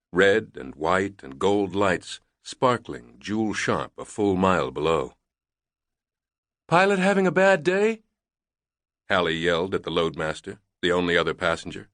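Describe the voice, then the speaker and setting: A man narrating a thriller novel in a measured, deliberate reading on a close studio mic. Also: deep voice, a bit bright, no room sound. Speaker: audiobook narrator.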